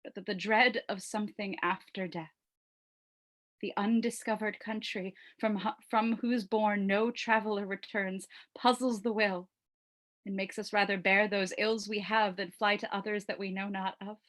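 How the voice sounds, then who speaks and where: A woman playing a brooding young prince in a Shakespearean soliloquy, pausing deliberately as she weighs death. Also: medium pitch, fairly even intonation, a bit bright, lightly acted. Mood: aggrieved, rising, then grave, resigned. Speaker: actor performing a monologue.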